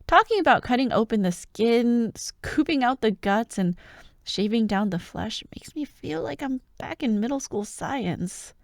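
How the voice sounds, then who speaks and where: A woman playing a bubbly, bossy young childhood friend who loves Halloween, in an animated, teasing ASMR roleplay. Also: on the high side, measured pace, dry recording, studio quality. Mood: squeamish, playful. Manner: animated, expressive, teasing, with laughs and sighs. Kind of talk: ASMR roleplay, one-sided acted conversation.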